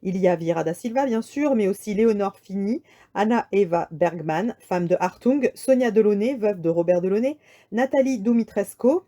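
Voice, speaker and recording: A woman reading a scripted lecture in a measured, articulate way with deliberate emphasis. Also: mid-range voice, animated, warm tone. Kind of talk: scripted lecture read aloud.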